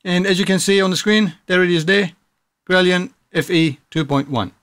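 A man in his thirties giving a casual software tutorial, explaining things step by step. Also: high-pitched, moderate pace, dry recording. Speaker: tutorial presenter.

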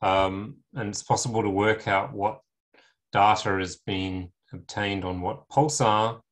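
A middle-aged man lecturing in an informal technical tutorial over a video call, explaining things step by step with pauses. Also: lowish voice, fairly even intonation. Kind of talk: informal technical tutorial narrating slides and screen demo.

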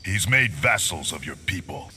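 Brooding warrior for a fighting game. Deep, stern, cavernous reverb. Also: male voice, animated. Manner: grave, measured. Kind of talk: video game dramatic acting. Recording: long reverb, loud background noise.

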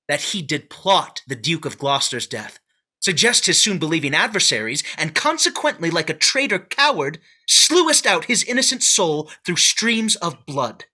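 Man playing an accusing nobleman, forceful, measured, bright tone, lively pitch. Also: husky, exaggerated voice. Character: Bolingbroke, fierce accusing young nobleman. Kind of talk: staged Shakespeare reading. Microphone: video call webcam.

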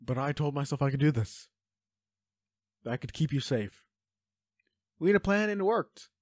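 A man's voice: a little high, animated, moderate pace, darkish tone, dry recording, clean studio sound. A man playing an anguished, protective werewolf boyfriend in an audio roleplay, speaking with urgent, pleading emotion. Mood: anguished, firm. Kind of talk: intimate dramatic roleplay acting.

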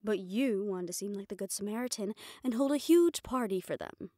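Audio roleplay: sardonic female personal assistant to a crime boss, played by a woman, mid-pitched, businesslike yet familiar, dry close sound. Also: lively intonation, moderate pace, mellow, dry recording, lightly acted. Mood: dry, confident. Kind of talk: intimate scripted audio roleplay, one-sided dialogue.

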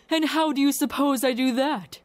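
Slow-talking, grief-worn, sardonic woman scientist acted. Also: sits high, no room sound. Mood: bitter regret. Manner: halting, wry, emotionally guarded. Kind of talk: fan audio drama acting.

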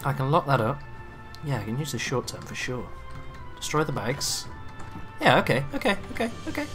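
A man with a raspy throat from a cough, chatting and swearing excitedly through live gameplay commentary. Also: mid-range voice, moderate pace, music in the background. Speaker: gaming video creator.

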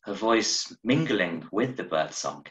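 A man in his thirties giving a measured, expressive reading of a short story over a video call. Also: fairly low pitch. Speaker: story reader. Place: small room at home, on a video call. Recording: roomy, echoey video-call sound.

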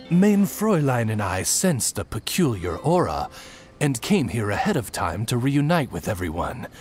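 A polite raven familiar with a lively, rising and falling delivery. He is formal, deferential and slightly hesitant, a scripted game servant over faint music. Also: medium pitch, a little slow. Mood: flustered, polite. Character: courteous male talking raven familiar, deferential butler-like servant. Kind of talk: scripted video game dialogue.

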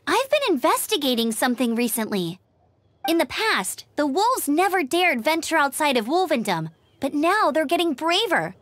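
A woman voicing a perky young female scout in a video game, brisk and eager, with a girlish voice. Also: extremely high pitch, fairly bright tone, no echo, exaggerated voice.

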